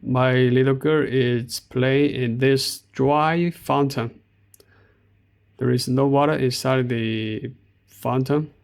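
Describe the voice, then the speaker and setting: A middle-aged man from China with a Chinese accent, narrating a walking tour of an outdoor park in slow, halting speech full of fillers. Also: mid-range voice, fairly even intonation, vocal fry. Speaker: vlogger.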